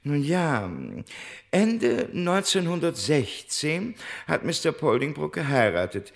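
Apologetic, mock-courteous crook's accomplice: gravelly higher voice, fussy phrasing, vintage radio play. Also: male voice, sing-song, slow pace, full character voice. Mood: sheepish explaining. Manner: hesitant, florid, mock-courteous.

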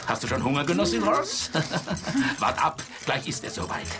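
A bossy, boastful Soviet dictator, swooping voice. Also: male voice, on the high side, big pitch swings, moderate pace. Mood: boastful, coaxing. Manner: commanding, exclaiming, condescending. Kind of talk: radio drama acting.

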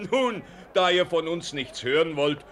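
An actor playing a jovial, cocky soldier in a 1940s German radio play, loud and declamatory. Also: male voice, on the high side, lively intonation, measured pace. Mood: jovial, mocking.

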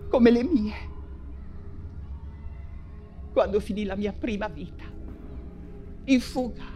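An actress playing an imprisoned, nostalgic Russian exile writer in an intense, halting theatrical monologue, repeating words for emphasis. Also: female voice, high voice, natural voice. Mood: anguished, nostalgic. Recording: music under the voice. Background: music.

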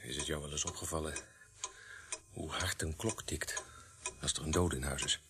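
A man's voice: extremely low pitch, normal speed. A man playing an uneasy, suspicious policeman on night watch in a radio play, chummy and teasing with his colleague. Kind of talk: Dutch radio play acting. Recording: noisy radio play recording.

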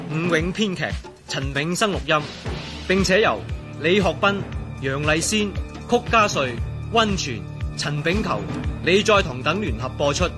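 A male announcer reads drama credits in Hong Kong Cantonese. His delivery is declamatory and his pitch fairly high. Music plays clearly underneath.

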